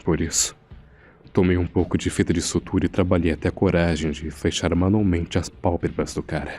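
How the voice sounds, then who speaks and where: A man's voice: sits low, fairly even intonation, a little fast, darkish tone, close to an everyday voice. A man playing an uneasy morgue assistant, narrating a horror audio drama in a grave, suspenseful manner. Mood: tense. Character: uneasy male morgue assistant recounting a frightening secret. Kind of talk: scripted first-person horror narration.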